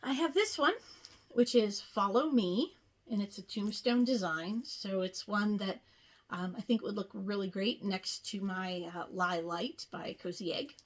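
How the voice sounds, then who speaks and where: A woman hosting a casual craft show-and-tell, chatting in an unhurried way with rambling asides. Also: mid-range voice. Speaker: cross-stitch video host.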